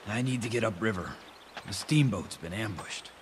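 A man playing a terse, gruff young American gunslinger in a western video game. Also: fairly low pitch, lively intonation, moderate pace, a little hiss or hum, natural voice. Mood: terse, guarded. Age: young adult. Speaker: game protagonist.

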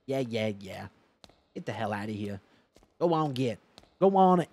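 A young man in his twenties streaming a horror game from home on a headset mic, joking loudly and swearing as he talks to chat. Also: medium pitch, a little slow. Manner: loud, swearing, joking, animated. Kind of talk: casual gameplay commentary with chat. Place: home gaming room, indoors.

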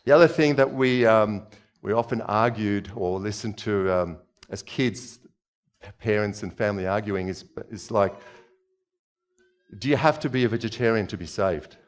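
A man's voice: on the low side, moderate pace. A man in his forties, born in Czechoslovakia, preaching a conversational sermon from a church stage on a headset mic, asking the audience questions as he moves about. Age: 40s.

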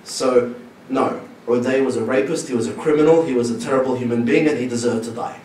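A man's voice: medium pitch, brisk. A man from Syria asking a question from the floor in a large lecture room with a handheld mic, earnest and emphatic. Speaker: audience member asking a question. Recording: roomy echo, far from the camera, background noise.

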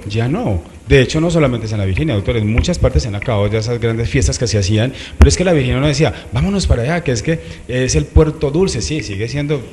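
Male morning-show host, thirties, Colombian accent, quick delivery, conversational interviewing. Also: mid-range voice, animated, darkish tone, slight background noise. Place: small TV studio set. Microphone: handheld microphone.

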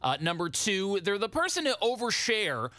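A man hosts morning radio with a bright, forceful voice that swings up and down in pitch. He leans on key words and repeats them for emphasis. The sound is close and dry, straight into a studio microphone. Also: huge pitch swings, normal speed, dry recording. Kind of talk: upbeat commercial radio DJ patter. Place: small radio studio indoors. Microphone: close studio broadcast microphone.

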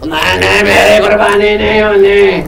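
A man's voice: sits high, fairly even intonation, moderate pace, gravelly, fairly bright tone, full character voice. A man voicing a vain young female cow puppet in a comic children's show, fretful and whining in protest. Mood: whiny protesting. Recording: distant miking with faint noise.

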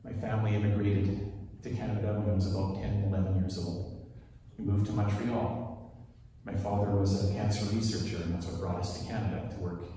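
French-speaking Swiss-born man, low mellow voice, casual storytelling sermon, roomy reverberant church, heard from a distance. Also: brisk, a little hiss or hum. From Switzerland. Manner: relaxed storytelling, chatty asides. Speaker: worship leader. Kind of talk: conversational sermon. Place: large wood-panelled church sanctuary. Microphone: handheld microphone, filmed from far. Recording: echoey church hall from a distance.

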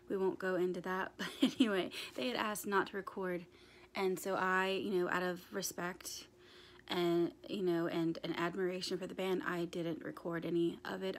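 A young woman in her twenties with a raspy, hoarse voice, chatting casually to a handheld phone camera in a small bedroom, with lots of ums and restarts. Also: fairly low pitch. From United States. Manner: rambling, many ums and restarts. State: hoarse from screaming at a concert. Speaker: vlogger. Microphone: phone camera held at arm's length.

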